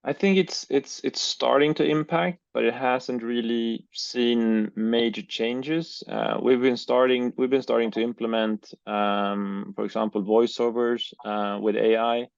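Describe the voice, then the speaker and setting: Gravelly man, hesitant. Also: mid-range voice, fairly even intonation, measured pace, few pauses, no echo. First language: Swedish. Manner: hesitant, many uh and um, repeats words. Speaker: guest, business owner. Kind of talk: interview answers. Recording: dry, dull lo-fi sound.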